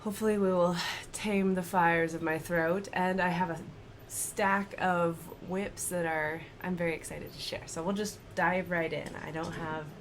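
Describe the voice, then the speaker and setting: A woman in her thirties chatting at home about her stitching, slightly raspy from a cold and laughing often. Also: fairly low pitch, steady tone, normal speed, a little hiss or hum. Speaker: cross-stitch vlogger. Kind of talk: casual chat to camera. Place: home, indoors.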